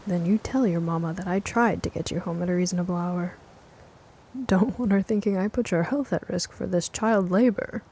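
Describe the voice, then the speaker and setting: A woman voicing a stubborn but caring older woman gardener in an audio drama, folksy, clipped and gruffly affectionate. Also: on the low side, normal speed, warm tone. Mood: wry, caring. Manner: folksy, clipped, calls listener kid.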